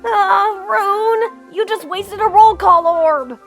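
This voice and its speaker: An eager young electric cub, voiced by a woman, speaks in a high, earnest voice and pushes impulsively to be part of everything. The fan comic dub keeps the delivery excitable and sincere. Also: takes it slowly, faint music behind, exaggerated voice. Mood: excited.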